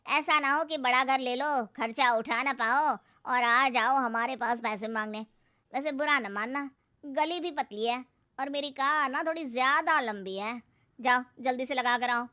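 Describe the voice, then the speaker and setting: Woman doing a snooty rich cartoon guy, really high bright voice, mocks everyone. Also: a little slow. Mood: condescending. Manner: condescending, mocking. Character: arrogant, belittling rich young brother-in-law, a man. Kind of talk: Hindi cartoon moral-story dialogue.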